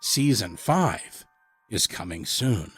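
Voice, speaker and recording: A man's voice: on the low side, big pitch swings, takes it slowly, breathy edge. A man who produces a story podcast, reading scripted credits with casual asides. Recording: faint music behind the voice.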